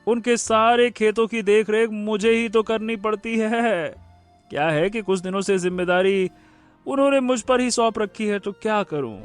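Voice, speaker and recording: Man playing a high-voiced coaxing young lover. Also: normal speed, clear, resonant tone, faint music behind, close to an everyday voice. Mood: playful. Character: playful, coaxing young lover, landlord's dutiful son. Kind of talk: animated fairy-tale story acting.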